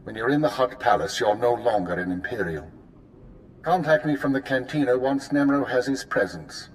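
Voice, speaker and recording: A man voicing a stern, precise intelligence handler giving crisp, commanding orders in a video game. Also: medium pitch, animated, moderate pace, a little hiss or hum, lightly acted, rough recording. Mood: briefing. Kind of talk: video game cut-scene acting.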